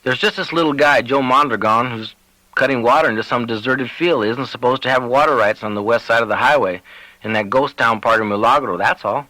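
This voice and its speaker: A man voicing a plain-spoken state cop in an audiobook, offhand and a little slow to grasp things. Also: medium pitch, bright, forceful tone, dry recording, lightly acted, low-quality recording. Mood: nervous. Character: unconcerned, slightly slow male state cop.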